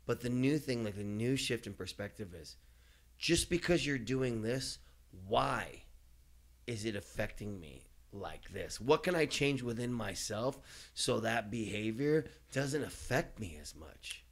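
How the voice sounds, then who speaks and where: A man's voice: medium pitch, lively intonation, a little slow. A man in his forties from East Los Angeles, talking openly in a casual podcast conversation, swearing freely and laughing often. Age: 40s. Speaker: podcast guest.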